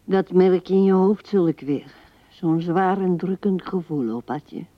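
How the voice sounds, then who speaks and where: An actress playing an old widow who muses tenderly and haltingly to her dead husband in a radio play, often sinking to a whisper. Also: fairly low pitch, no room sound, natural voice. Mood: weary, hurt. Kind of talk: intimate radio play monologue. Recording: dull, dry vintage radio recording.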